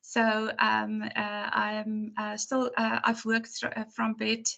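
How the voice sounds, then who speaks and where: A woman gives an online university lecture in a hoarse, croaky voice with a gravelly edge. She pitches a little high for a woman and talks in a chatty, rambling way, often apologizing. She is heard over a video call. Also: completely flat delivery, takes it slowly, pushed and bright, dull audio.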